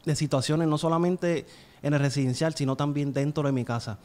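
A man's voice: on the high side, steady tone, brisk, dark tone. A man in his thirties with a Puerto Rican accent tells his personal story as an interview guest, earnestly and fluently, close to a studio microphone. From Puerto Rico. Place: small indoor studio. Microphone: close studio microphone on a boom arm.

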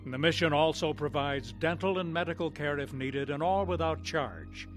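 A man narrating a scripted radio drama in a measured, solemn, theatrical old-time announcer manner. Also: on the high side, fairly even intonation, soft background music.

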